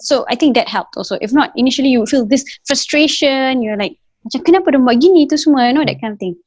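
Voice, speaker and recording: A woman's voice: medium pitch, dry recording. A woman from Singapore with a Singaporean English accent that slips into Malay words, speaking earnestly as an interview guest and often restarting her sentences. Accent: Singaporean English with Malay code-switching. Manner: earnest, with frequent restarts and fillers. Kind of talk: casual conversation.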